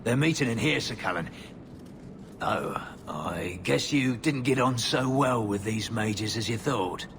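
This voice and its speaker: A man's voice: lively intonation, a little slow. A man playing a down-and-out former knight in a fantasy game, plainspoken and deferential. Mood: uneasy. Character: down-and-out male former knight seeking reinstatement. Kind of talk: fantasy video game acting. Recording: clear rumbling background noise.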